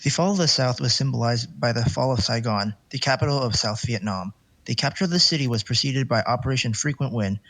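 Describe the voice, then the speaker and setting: A man's voice: mid-range voice, fairly bright tone, rough recording. A man reading a scripted educational narration for a documentary.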